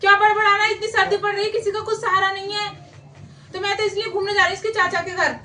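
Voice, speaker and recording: A woman's voice: very high-pitched, a little fast. A woman playing a grumbling housewife fed up with winter chores in an amateur comedy skit, complaining and nagging repetitively. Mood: exasperated. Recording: echoey room, distant mic, faint noise.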